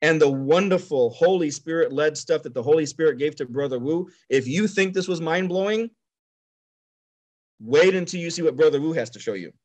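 A man hosting an online Bible teaching over a video call, talking excitedly and repeatedly addressing his listeners as brothers and sisters. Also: a little high. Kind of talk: enthusiastic preacher-style introduction.

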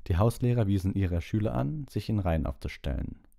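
A man's voice: low-pitched, sing-song, brisk, vocal fry, warm tone, no echo, studio quality. A man narrating a novel as an audiobook, reading from a script.

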